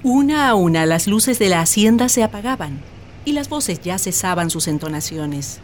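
A woman's voice: mid-range voice, expressive, normal speed, slight background noise, close to an everyday voice. A woman giving scripted, storybook narration as the narrator of a radio play.

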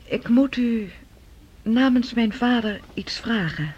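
A woman's voice: on the high side, lively intonation, slow pace, darkish tone, husky, muffled recording, full character voice. An actress playing a polite, reserved woman with a formal manner in a radio play. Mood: earnest. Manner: formal, courteous. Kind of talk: Dutch radio play dialogue.

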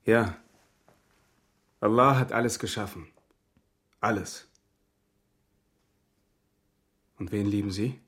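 Husky, earnest refugee man. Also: fairly low pitch, unhurried, fairly bright tone. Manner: terse, solemn, matter-of-fact. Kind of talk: radio drama acting.

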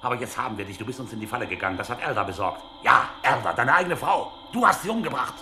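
An actor in a radio drama playing a brutal, domineering captain who interrogates with sneering contempt. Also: male voice, mid-range voice, animated, fast, pushed and bright. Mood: accusing, gloating.

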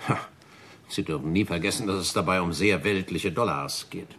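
An actor in a German radio play playing a composed senior businessman, dryly polite and measured. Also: sits low, a bit bright, a little hiss or hum. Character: composed, confidential senior businessman, suave boss.

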